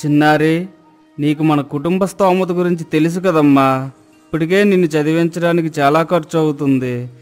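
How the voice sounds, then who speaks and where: High-voiced humble village father acted by a man. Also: steady tone, moderate pace, darkish tone, soft background music, lightly acted. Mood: worried. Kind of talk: animated moral story dialogue.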